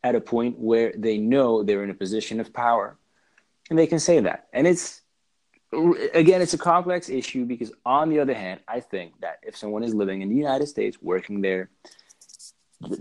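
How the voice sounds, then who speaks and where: A man with a fairly low voice talks things through as an interview guest. He is reflective, weighs both sides, and often restarts his sentences while searching for the right words. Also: darkish tone. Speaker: podcast guest. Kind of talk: reflective conversational talk. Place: lives in southern Slovakia.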